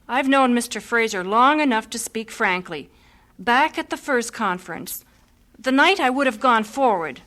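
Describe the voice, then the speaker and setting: A woman's voice: fairly high pitch, fairly bright tone. An actress playing a spirited young woman missionary who speaks earnestly and frankly. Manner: earnest, outspoken.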